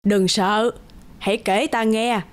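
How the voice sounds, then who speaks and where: A woman voicing a huge old giantess mother in a cartoon, gruff but kindly, with a commanding, matter-of-fact manner. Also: on the high side, steady tone, takes it slowly.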